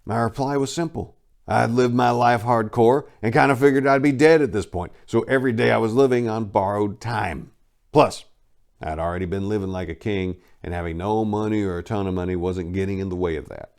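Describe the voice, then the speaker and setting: Deep-voiced man narrating a memoir wryly. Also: fairly even intonation, a little fast, creaky. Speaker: narrator reading a memoir aloud. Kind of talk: first-person dramatic reading.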